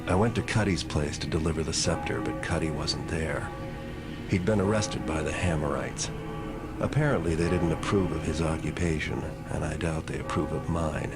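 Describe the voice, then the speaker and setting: Deep-voiced cynical thief. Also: male voice. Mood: dry, matter-of-fact. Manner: dry, deadpan, unhurried. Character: cynical, sardonic adult male master thief. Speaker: video game narrator character. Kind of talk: scripted noir-style cutscene narration. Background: music.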